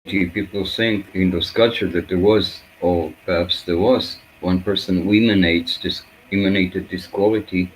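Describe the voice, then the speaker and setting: A man, a Hebrew speaker, giving an unscripted reflective spiritual talk in halting, fragmented phrases with searching pauses. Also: low voice, fairly even intonation, slight background noise, poor audio.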